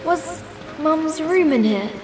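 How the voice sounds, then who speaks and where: A woman's voice: high voice, lively intonation, takes it slowly, music in the background. An actress voicing a timid, curious, apologetic British little girl in a video game flashback.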